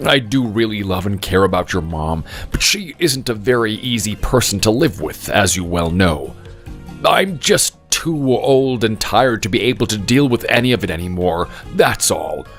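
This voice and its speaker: A man's voice: fairly low pitch, lively intonation, moderate pace, fairly bright tone. A man plays a tired old father near death in an audio drama. He confides in a resigned, plaintive way, bitter yet at peace. Faint music plays behind him. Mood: weary, resigned. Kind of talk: dramatic dialogue.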